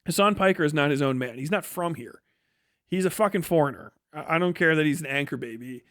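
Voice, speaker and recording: A man's voice: fairly high pitch, fairly quick, fairly bright tone, dry recording, studio quality. An American man livestreaming a casual, opinionated rant, blunt, scornful and full of profanity and slang. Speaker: livestream host and political commentator.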